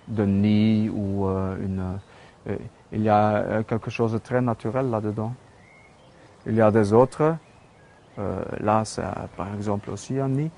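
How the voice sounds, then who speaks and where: Flemish-accented man, slow and hesitant. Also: on the low side, a little mellow. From Belgium. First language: Dutch. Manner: hesitant, many fillers and restarts. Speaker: metal sculptor. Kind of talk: casual studio tour.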